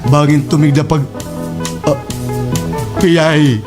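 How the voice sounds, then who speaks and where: A man's voice: fairly high pitch, fairly even intonation, takes it slowly. Anxious man acting a simple village watchman. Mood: hesitant. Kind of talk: slapstick radio drama acting. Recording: music under the voice.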